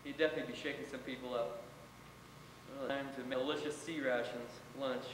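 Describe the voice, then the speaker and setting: A man's voice: medium pitch, fairly even intonation, fairly quick, natural voice. Man reading as wry young soldier, echoey hall. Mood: weary, wry. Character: weary, wry young American soldier writing home. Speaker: readers theater performer. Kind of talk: dramatic reading of war letters. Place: college theater stage. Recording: echoey hall from afar, noisy.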